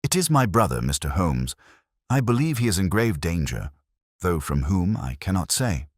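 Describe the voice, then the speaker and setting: A man's voice: low-pitched, very animated, normal speed, no echo, studio quality, voice pushed up. A man voicing an anxious, genteel young woman in an audiobook mystery, polite and hesitant in manner. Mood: uneasy, pleading. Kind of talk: dramatised detective audiobook.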